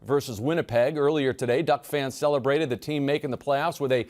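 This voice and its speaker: A man anchoring a local TV sports desk, reading highlights in a brisk, punchy manner. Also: fairly even intonation, a little mellow. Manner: brisk, punchy play-by-play delivery.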